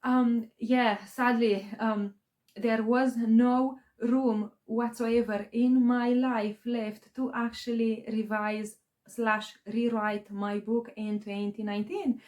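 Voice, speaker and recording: A woman with a Romanian accent talks to her viewers in a relaxed, rambling way, full of ums and restarts. Her voice sits a little high and she speaks slowly, taking her time. English is her second language. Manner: rambling, many fillers and restarts. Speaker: aspiring novelist vlogger. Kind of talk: casual personal vlog talk.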